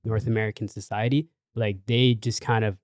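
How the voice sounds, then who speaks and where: A man hosting a casual podcast chat, relaxed and encouraging, with lots of fillers. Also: on the low side, normal speed, no echo, studio quality. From Canada. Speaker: podcast host.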